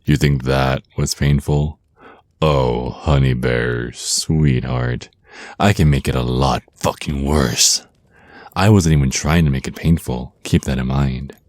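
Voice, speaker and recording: Very deep, slow vampire naga voiced by a man. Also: steady tone, darkish tone, no room sound. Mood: taunting threat. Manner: slow, teasing, condescending, threatening endearments. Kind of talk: ASMR roleplay acting.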